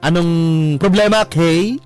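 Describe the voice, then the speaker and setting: A man's voice: high voice, slow pace, faint music behind. A Filipino man hosting a radio call-in show, teasing and joking in playful, exclaiming banter. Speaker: radio host.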